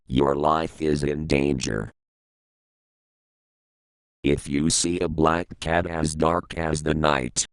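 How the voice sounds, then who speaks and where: A man playing a solemn government emergency broadcast announcer in an analog horror piece, formal and measured. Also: rumbling low, clean tone, no echo, poor audio. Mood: ominous warning.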